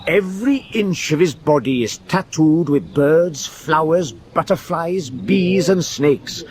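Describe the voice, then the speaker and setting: A man acting an excitable British naval man who recounts the action in a dramatised war narration. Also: fairly high pitch, takes it slowly. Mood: measured narration.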